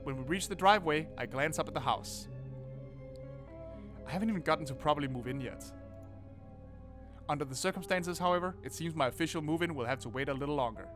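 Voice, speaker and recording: A man's voice: a little high, quick pace, pauses often, music in the background. A young man hosting a let's play, reading the game text aloud and reacting with swearing and laughter. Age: young adult. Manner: animated, swears and laughs often. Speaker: gaming channel host.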